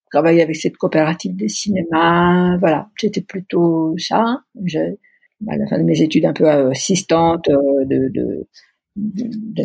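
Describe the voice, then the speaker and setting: A woman's voice: on the low side, creaky, a little mellow, slightly rough, no echo, rough recording. A middle-aged woman from Italy, speaking with an Italian accent in a podcast interview, hesitant and often searching for words. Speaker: interview guest.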